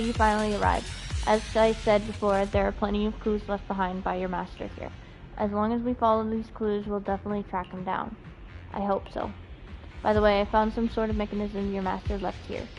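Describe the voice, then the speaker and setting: A woman livestreaming a video game, chatting and reading text aloud in a giggly, animated way. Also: medium pitch, fairly quick, low-quality recording. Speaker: game streamer. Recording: game music behind the voice. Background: video game music.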